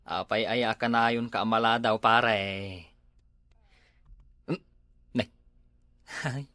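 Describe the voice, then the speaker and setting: A man's voice: medium pitch, level tone, slow, pushed and bright, no echo. A man acting a teasing workmate in an Ilocano radio drama, with an Ilocano accent. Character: teasing male workmate, questioning his late friend.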